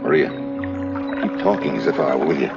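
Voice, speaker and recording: Wry 1950s TV adventure hero, tough and clipped, on a dull vintage soundtrack under loud music. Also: male voice, extremely low pitch, lively intonation, brisk. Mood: tense, wry. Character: cool, wry male secret agent hero. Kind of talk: 1950s television adventure acting.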